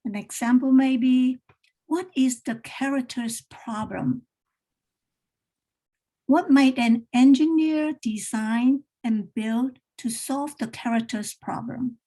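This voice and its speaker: Slow, soft-toned high-voiced woman instructor. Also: rough recording. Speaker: teacher trainer presenting a workshop. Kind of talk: instructional read-aloud demonstration for educators.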